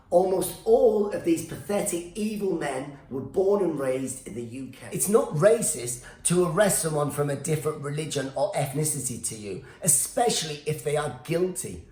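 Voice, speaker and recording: A man's voice: a little high, darkish tone, roomy sound. A male stand-up comedian, born in Iran with Farsi as his first language, delivering a sarcastic rant that keeps slipping into silly put-on voices.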